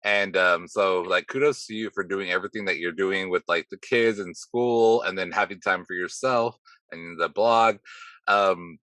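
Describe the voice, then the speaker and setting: A man hosting a podcast interview, slightly hoarse, chatty and self-deprecating as he rambles through his questions. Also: sits low, moderate pace, bright, forceful tone, no echo. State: slightly hoarse from heavy talking. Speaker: podcast host. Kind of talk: casual interview chat.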